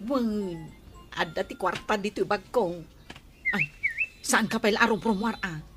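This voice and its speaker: A woman's voice: mid-range voice, unhurried, music in the background, lightly acted. An actress playing a pushy, scheming woman who borrows money, in Ilocano comedy drama with an Ilocano accent. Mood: smug, scheming.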